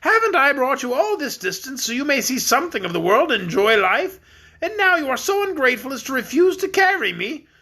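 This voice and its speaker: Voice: big pitch swings, fairly quick, bright, forceful tone, heavily acted. A reader voicing a jolly, fat old king who chatters and laughs often. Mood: pleading. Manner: high, bubbly, keeps breaking into laughter. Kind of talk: audiobook character voice.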